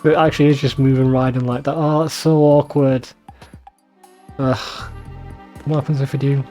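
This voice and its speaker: Male let's player, relaxed dark tone, rambling casual commentary, light music in the background. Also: mid-range voice, moderate pace. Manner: rambling, conversational, swears casually. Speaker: let's play host.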